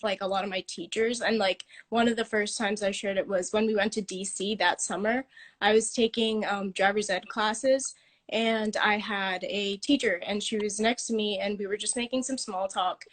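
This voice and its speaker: A teenage girl speaks as a guest on an online live stream, describing her time as a dance student. She talks thoughtfully, stringing answers together with many fillers, at an everyday middle pitch. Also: steady tone, moderate pace. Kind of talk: casual interview answers.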